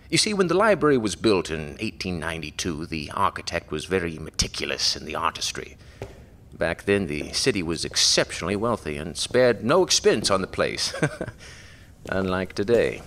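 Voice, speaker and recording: A low, gravelly library director, played by a man in an audio horror drama. He talks in a chummy, smooth way with chuckles, and his pitch swoops lively up and down. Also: moderate pace, a little hiss or hum, heavily acted. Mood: explaining. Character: genial small-town library director, man.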